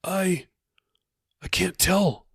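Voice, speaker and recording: A male narrator plays a troubled, uneasy man in an audiobook story. His voice is fairly high and his answers are halting and unsure, with very little room sound. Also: vocal fry, husky, dry recording, clean studio sound, full character voice. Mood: hesitant, uneasy. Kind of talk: audiobook character dialogue.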